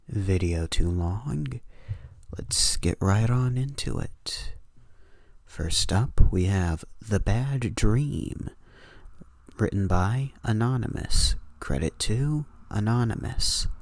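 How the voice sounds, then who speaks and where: A man's voice: on the low side, gravelly, a little mellow, no room sound, studio quality. A man hosting and narrating horror stories in a dramatic reading style. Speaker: host and narrator.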